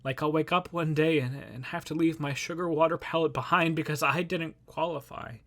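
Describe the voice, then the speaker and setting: A man acting an anxious, higher-voiced young moviegoer. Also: fairly even intonation, fairly bright tone. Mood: wistful, weary. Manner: halting, rambling, self-interrupting. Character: anxious, self-deprecating nonbinary young moviegoer talking to a poster. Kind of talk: dramatic monologue reading.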